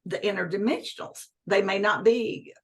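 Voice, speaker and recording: A woman's voice: medium pitch, normal speed. Earnest woman in her sixties. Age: 60s. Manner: earnest, emphatic, repeats key phrases. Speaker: guest. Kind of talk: conversational interview.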